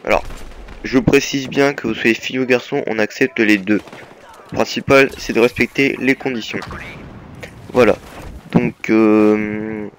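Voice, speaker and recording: A man's voice: fairly low pitch, fairly even intonation, unhurried, long pauses, a little hiss or hum. A young man with a slight cold, giving a hesitant, filler-heavy voice-over that presents his gaming team. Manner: hesitant, many fillers and restarts. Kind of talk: casual voice-over over gameplay.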